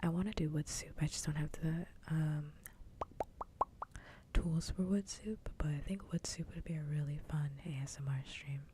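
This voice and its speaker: A woman livestreamer chatting casually in a soft ASMR style, mostly in a whisper, close to the microphone. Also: normal speed. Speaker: livestreamer host. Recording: faint background noise, low rumble.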